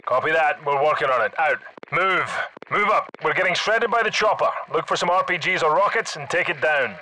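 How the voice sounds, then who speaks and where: An actor playing a tough, urgent special forces squad leader in a video game, giving clipped, commanding military orders. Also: male voice, on the high side, animated, fairly quick, sharp tone, rough recording. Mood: terse. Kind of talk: video game battle dialogue.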